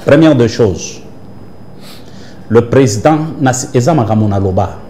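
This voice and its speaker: A man's voice: medium pitch, expressive, a little slow, dark tone, slight background noise. A man, a church prophet answering interview questions with an emphatic, preaching cadence. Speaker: prophet and church leader, guest.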